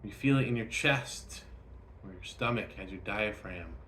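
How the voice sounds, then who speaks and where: A man leading a guided meditation, speaking gently and instructively in short phrases with frequent pauses. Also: lowish voice, slight background noise.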